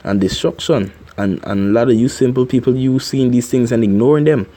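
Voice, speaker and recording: A man hosting a religious commentary, slowly reading scripture and adding remarks with many fillers and repeated "right?". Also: mid-range voice, mellow. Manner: reads scripture slowly, filler-heavy, keeps asking "right?".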